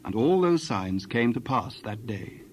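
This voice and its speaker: Measured male scripture narrator. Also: medium pitch, lively intonation, a little hiss or hum.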